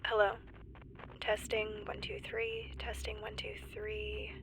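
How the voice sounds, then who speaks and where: A woman playing a nervous, wry stranded geologist in a sci-fi audio drama, halting and breathy, often near a whisper. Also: medium pitch, monotone, background noise. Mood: nervous, tentative. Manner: halting, awkward, wryly understated. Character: stranded woman, nervous civilian geologist keeping an emergency log. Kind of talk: found-footage sci-fi audio drama monologue.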